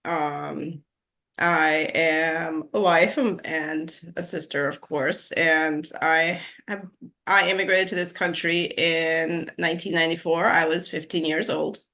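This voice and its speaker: A woman's voice: low voice, fairly even intonation, takes it slowly, sharp tone. A woman in her forties from Guyana, a nurse practitioner telling her story as an interview guest, with many fillers and easy laughs. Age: 40s. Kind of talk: interview conversation.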